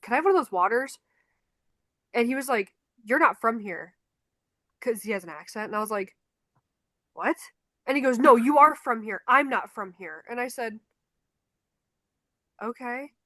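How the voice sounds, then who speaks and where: A woman's voice: fairly high pitch, normal speed, long pauses, no room sound, clean studio sound. A young woman with a Chicago accent, a podcast co-host telling stories in casual chat and acting out the quotes. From Chicago, United States. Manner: animated storyteller acting out quotes. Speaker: podcast co-host, concert photographer.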